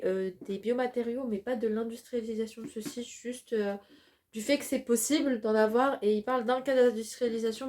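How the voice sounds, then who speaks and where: A woman's voice: on the high side, a little mellow. A woman in her twenties chatting casually to camera at home in a vlog, gushing and using many fillers. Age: 20s. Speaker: vlogger and design student.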